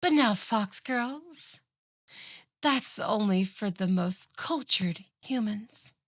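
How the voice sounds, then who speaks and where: A woman's voice: mid-range voice, lively intonation, slow pace, no echo, clean studio sound. A woman playing a possessive, obsessive fox-spirit yandere in a scripted ASMR roleplay, with a sugary, boastful, taunting manner. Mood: smug, possessive.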